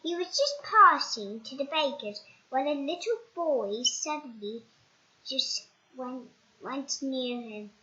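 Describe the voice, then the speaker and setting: A child reading a storybook aloud in a halting, dramatic way, repeating words. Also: high-pitched, animated, pushed and bright. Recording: dull, echoey home recording.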